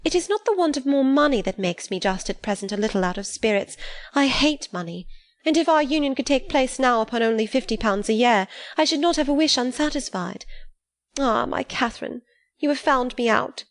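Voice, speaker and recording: A woman reading an audiobook, voicing an affected, gushing young woman who talks in high-flown exclamations. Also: expressive, a little fast. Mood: sighing.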